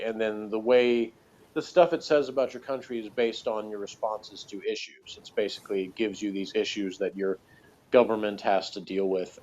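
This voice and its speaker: A man chatting casually as a review co-host, talking in a wordy, halting, rambling way. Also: lowish voice, darkish tone. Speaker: podcast co-reviewer. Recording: dull, lo-fi sound.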